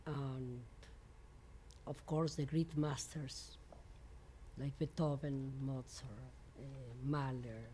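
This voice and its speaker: Low, slow Mexican woman composer. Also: steady tone, warm tone, a little hiss or hum. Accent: Mexican Spanish. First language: Spanish. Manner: halting non-native English with many fillers and restarts. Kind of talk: interview. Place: venue in New York.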